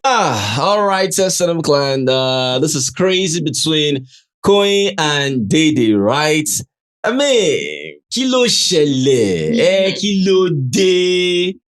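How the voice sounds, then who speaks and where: A man's voice: on the high side, sing-song, slow pace, fairly bright tone. Chatty Nigerian man hosting. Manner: chatty, tags nearly every phrase with "right?"; mixes English with Pidgin and Yoruba phrases. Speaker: host. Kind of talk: casual commentary.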